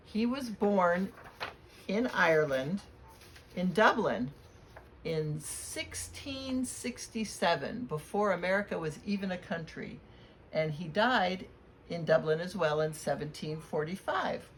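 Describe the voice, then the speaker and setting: Female children's read-aloud reader, lower register, expressive and deliberate, with audible ambient noise. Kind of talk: storybook read-aloud with spoken introduction. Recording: clear background noise.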